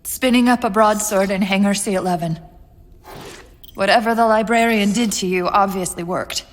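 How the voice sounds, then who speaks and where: A woman's voice: mid-range voice, moderate pace, long reverb tail, a little hiss or hum, natural voice. A woman playing a caring, emotional female artificial intelligence companion in a video game, earnest and intimate in her delivery. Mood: focused, urgent. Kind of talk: video game cutscene acting.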